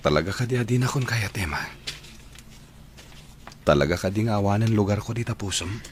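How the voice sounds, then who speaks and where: An actor with an Ilocano accent playing a lovesick young student, pleading earnestly in a radio drama. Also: male voice, lowish voice, brisk, a little hiss or hum, close to an everyday voice.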